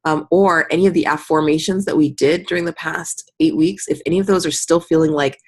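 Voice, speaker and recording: A woman with a low, husky voice leads an informal group coaching call. She speaks conversationally as a mentor, offering encouragement and slipping into short imagined examples of what people might say. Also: fairly even intonation, fairly quick, a little mellow. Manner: conversational, encouraging, often acting out example remarks in the listener's voice.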